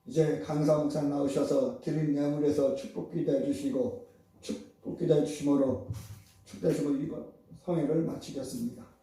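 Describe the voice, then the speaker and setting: In a very echoey hall, some distance from the mic, a man hosts a church service. His voice sits fairly high for a man and has a mellow tone. He speaks formally, as in prayer and announcements. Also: steady tone, normal speed. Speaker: church revival meeting host. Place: large reverberant indoor church hall.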